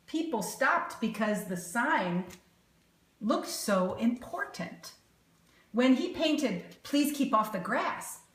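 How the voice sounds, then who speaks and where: A woman reading a children's picture book aloud expressively, pausing to put questions to young listeners with exaggerated emphasis. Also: mid-range voice, a bit bright. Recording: roomy, echoing sound.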